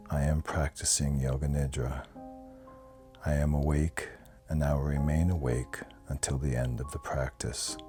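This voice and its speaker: Very deep-voiced man guiding meditation. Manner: calm, soothing, measured instruction. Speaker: yoga nidra guide. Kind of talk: guided meditation. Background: soft plucked guitar music.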